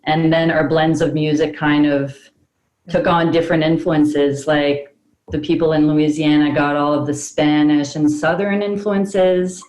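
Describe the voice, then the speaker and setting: A woman with a low voice talks in a chatty, explanatory way and laughs often. She is heard over a video call from an echoey room. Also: steady tone, a little slow, darkish tone. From Prince Edward Island, Canada. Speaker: band member, interviewee.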